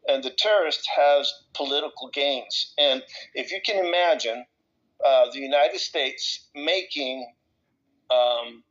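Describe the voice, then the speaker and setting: A man from El Salvador talking casually and off the cuff in a group discussion, in a halting, rambling way with repeated words and broken-off thoughts. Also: medium pitch, fairly bright tone. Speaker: discussion participant. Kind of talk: casual, impromptu personal talk in a group discussion. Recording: slightly dull, lo-fi audio.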